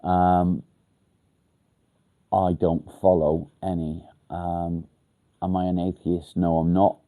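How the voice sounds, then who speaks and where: A low-voiced man chats bluntly with his live viewers, going straight to the point. His tone is deeply mellow and dark, and a blocked nose makes him sound nasal and stuffy. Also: level tone, takes it slowly. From England. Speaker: livestream host. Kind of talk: casual live chat answering viewer comments.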